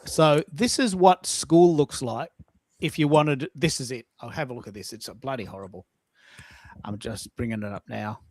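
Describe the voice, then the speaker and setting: A man's voice: mid-range voice, moderate pace, a little mellow, no echo. A man hosting a casual live-stream chat, informal, rambling and blunt in his way of talking. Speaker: host, social media coach.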